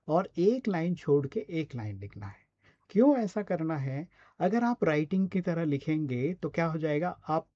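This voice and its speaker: A man's voice: on the high side, dark tone, dry recording, lo-fi sound. A man with an Indian accent coaching in a conversational, explanatory way, often checking in with short questions. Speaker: voice and radio scriptwriting coach. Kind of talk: conversational online teaching.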